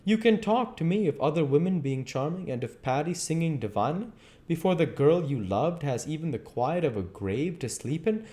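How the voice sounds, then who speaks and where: A man voicing a grave, heartbroken gentleman, formal and pleading, from a classic novel. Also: fairly high pitch, animated, moderate pace, pitched above the performer's own voice, lightly acted. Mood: pained reproach. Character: grave, heartbroken gentleman friend, earnest and reproachful.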